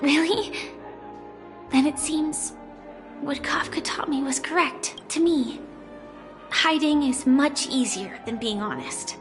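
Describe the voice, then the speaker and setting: A soft, wistful young fugitive. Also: female voice, sits high, slow pace, long pauses, music in the background. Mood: reflective. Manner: soft, reflective, hushed. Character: quiet young woman fugitive, soft and melancholic. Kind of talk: game dialogue.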